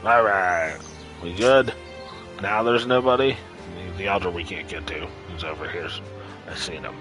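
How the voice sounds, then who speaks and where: A man's voice: low voice, normal speed, music in the background. A man doing casual live gameplay commentary as a streamer, offhand and often muttering to himself. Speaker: game streamer.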